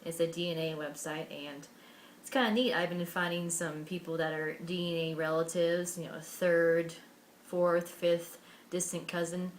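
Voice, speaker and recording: A woman's voice: on the low side, fairly even intonation, normal speed, a little hiss or hum. A young American woman from Washington State telling her own life story to camera in an earnest, candid way, with many fillers and restarts. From Washington State, USA. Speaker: vlogger.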